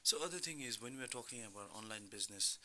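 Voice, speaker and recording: A man's voice: medium pitch, lively intonation, fast talker, sharp tone, slight background noise. A man hosting a radio talk show, putting questions conversationally with hesitant phrasing and frequent listening hums. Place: radio studio.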